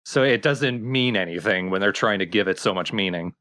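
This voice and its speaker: A man with a somewhat low voice gives casual gaming commentary. He speaks as a co-commentator in an unscripted conversation, offering his opinions as they come. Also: fairly quick, sharp tone.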